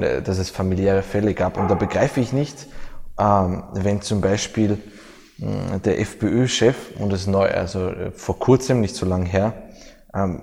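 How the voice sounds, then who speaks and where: A man with a lowish voice and marked vocal fry conducts an interview. He talks conversationally, pausing to search for his words. Long hall reverb surrounds him. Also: mellow.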